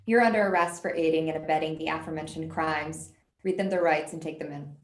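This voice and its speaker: A woman playing a no-nonsense FBI special agent in her thirties, official and deadpan, on a roomy video call. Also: low voice, fast, close to an everyday voice. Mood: stern, deadpan. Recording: echoey room on video call.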